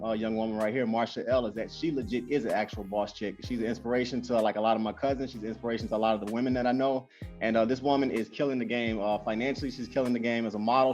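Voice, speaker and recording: Fast-talking male interview host. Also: mid-range voice. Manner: fast, animated, lots of fillers and repeats. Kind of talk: casual interview. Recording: dull lo-fi sound with faint music.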